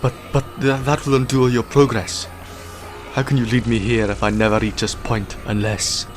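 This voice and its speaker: A man's voice: mid-range voice, flat delivery, moderate pace, faint music behind, close to an everyday voice. A man playing a defiant, sardonic time-travelling hero in an audio drama, taunting his captors. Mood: incredulous, dismissive.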